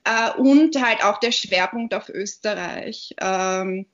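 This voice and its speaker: Austrian woman, podcast guest, measured and reflective; mid-pitched, slightly dull recording. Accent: Austrian German. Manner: thoughtful, searching for words. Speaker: podcast guest, literary platform founder. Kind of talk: conversational interview answers.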